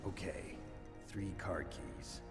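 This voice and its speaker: Casting: terse game commando, low gritty voice, long reverb, music underneath. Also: male voice, takes it slowly, gravelly, heavily acted. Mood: terse, businesslike. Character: gruff, terse male special-forces infiltrator. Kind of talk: video game voice acting.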